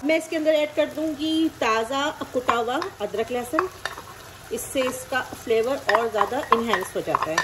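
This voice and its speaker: A woman's voice: a little high, moderate pace, very noisy. A woman hosting a cooking channel, explaining each recipe step plainly in a friendly voice-over. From Pakistan. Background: frying and sizzling.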